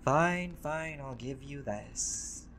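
A man's voice: mid-range voice, drawn-out words, gravelly, very noisy. A man playing a laid-back, vain, centuries-old vampire in a conversational roleplay audio drama, with casual, sweary, bantering delivery. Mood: teasing, exasperated.

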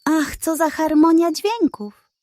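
A woman's voice: high-pitched, slow, dark tone, no echo, studio quality, voice pushed up. A woman voicing a vain, naive hen who talks in a boastful, self-satisfied way. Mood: delighted. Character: vain, naive hen proud of her singing.

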